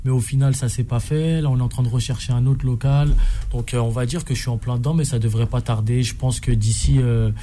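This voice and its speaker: A man from Paris, a boxer, talking in a casual radio interview, halting and repeating his words. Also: medium pitch, fairly even intonation, dark tone, slight background noise. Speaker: Muay Thai boxer guest.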